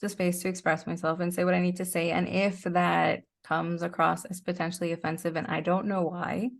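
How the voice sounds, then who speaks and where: A woman with a fairly low voice and plenty of vocal fry talks in a conversational interview. She is direct and emphatic, making her points in short, firm statements. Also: little pitch movement, fairly quick. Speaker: interview guest, performer and podcaster.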